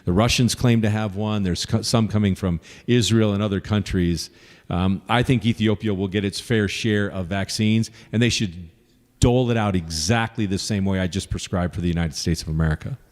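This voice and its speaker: Lower-voiced man answering in an echoey hall. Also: a little mellow. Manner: earnest, lists points, pauses with fillers. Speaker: congressional candidate. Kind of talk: answering audience questions at a forum.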